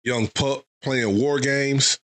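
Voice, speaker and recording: A man's voice: medium pitch, steady tone, takes it slowly, loud music behind the voice. A man recovering from a head cold, giving loose, rambling album-review commentary with lots of fillers. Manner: loose, thinking aloud with many fillers. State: getting over a head cold. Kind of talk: casual reaction commentary.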